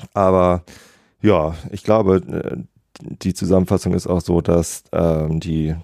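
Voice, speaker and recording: A man's voice: low voice, dark tone, no echo, studio quality. A man working as a street reporter, interviewing fans outdoors and chatting casually with many fillers. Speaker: street reporter for a fan radio show. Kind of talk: casual vox-pop interviews and summary. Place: outdoors near a stadium after a match.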